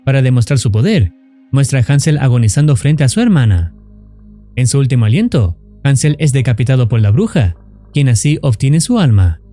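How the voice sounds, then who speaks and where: A man narrates a scripted horror story recap. His pitch moves up and down in a lively way, and his tone is bright but controlled. Faint music plays underneath. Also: a little fast.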